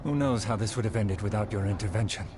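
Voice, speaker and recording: A man plays a composed, honorable young samurai wanderer from a game. His low voice is formal and dignified. Clear background noise runs under the dialogue. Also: fast talker, lightly acted. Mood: resolute. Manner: calm, formal, dignified speech. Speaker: game character.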